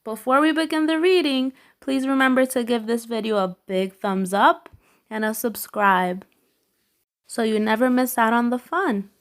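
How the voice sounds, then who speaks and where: A woman hosting a read-aloud, narrating a children's storybook slowly and expressively with emphatic phrasing. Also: on the high side.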